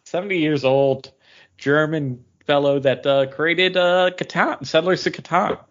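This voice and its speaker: A man's voice: a little high, measured pace. A man co-hosting a podcast, chatting casually in a rambling, easygoing way. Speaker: podcast co-host. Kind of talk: casual chat. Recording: dull lo-fi recording.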